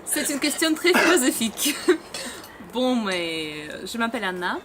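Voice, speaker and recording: A young woman from Russia leading a volunteer camp, chatting to camera with many pauses and fillers. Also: a little high, sing-song. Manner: hesitant, many fillers, laughs often. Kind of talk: casual chat to camera. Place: outdoor boat workshop. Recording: noisy outdoor ambience.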